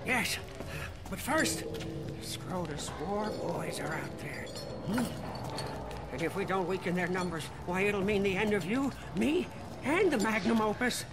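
An actor playing a zealous, fawning old mechanic who worships cars, in a video game cutscene. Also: male voice, a little slow. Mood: eager. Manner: shrill, fervent, fawning, prone to excited outbursts. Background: loud game score music.

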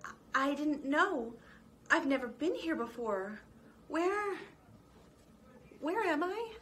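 Storybook mouse girl, high and trembling, stammers, voiced by a woman. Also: big pitch swings, slow pace, fairly bright tone, a little hiss or hum, exaggerated voice. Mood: timid pleading. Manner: stammering, pleading. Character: small frightened young female mouse.

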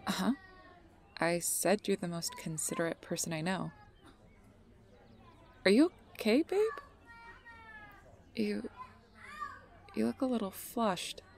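A woman's voice: medium pitch, slow pace, creaky, pauses often, darkish tone, slight background noise. A woman playing an obsessive, sweet-talking fey lover in an ASMR roleplay, speaking intimately and often breathily. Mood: gently concerned. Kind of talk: ASMR roleplay, close personal attention.

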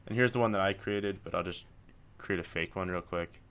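A man's voice: deep voice, a little fast, fairly bright tone, clean tone. A man presenting a casual screencast tutorial, talking informally through each step as he instructs.

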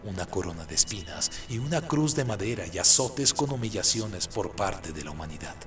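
A man's voice: low-pitched, sing-song, moderate pace, fairly bright tone. A man giving solemn, scripted dramatic narration for a radio play.

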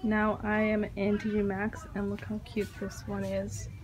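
Young woman vlogger, chatty and gushing, mid-pitched voice, recorded on a phone with steady store noise behind. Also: unhurried. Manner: chatty, gushing over items and reading prices aloud. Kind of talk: casual shop-with-me commentary. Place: home decor stores, indoors. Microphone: handheld phone camera.

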